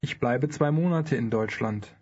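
Husky man modeling careful phrases. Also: medium pitch, darkish tone. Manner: careful, deliberate pronunciation. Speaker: native speaker model in a language course. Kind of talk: scripted phrase repetition. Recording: dull lo-fi studio recording.

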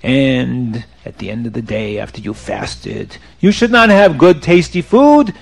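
A man's voice: mid-range voice, animated, measured pace, gravelly, pitched below the performer's own voice. Man playing a stern, scolding rabbi, widely swooping. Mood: severe. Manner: scolding, emphatic, relentless rhetorical questions. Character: stern, harsh rabbi demanding severe penance. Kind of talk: oral religious storytelling, character voice.

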